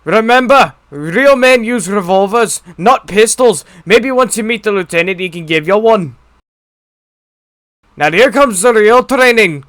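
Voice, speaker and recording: A woman's voice: mid-range voice, animated, unhurried, fairly bright tone, no room sound, studio quality. A woman playing a commanding, boastful, taunting drill instructor aboard a ship. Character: gruffly commanding, boastful, foul-mouthed shipboard drill instructor. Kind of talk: scripted game cutscene acting.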